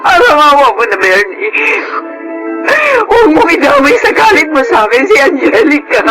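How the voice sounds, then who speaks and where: A radio drama with prominent music. a dramatic, earnest woman of a troubled household. Her delivery is emotional and swings widely in pitch. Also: very animated, moderate pace, low-quality recording. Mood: anguished pleading. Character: women of a family melodrama: anguished wife, loyal household employee. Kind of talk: Filipino radio drama acting.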